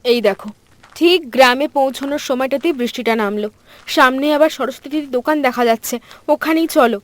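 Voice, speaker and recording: A woman's voice: high-pitched, fast talker, no room sound, close to an everyday voice. A woman voicing a concerned, polite young daughter-in-law in a cartoon story, caring and inquisitive. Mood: flustered.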